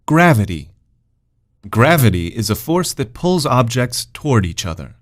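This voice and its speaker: A man's voice: medium pitch, big pitch swings, a little slow. A man giving scripted educational narration, reading out vocabulary words and example sentences.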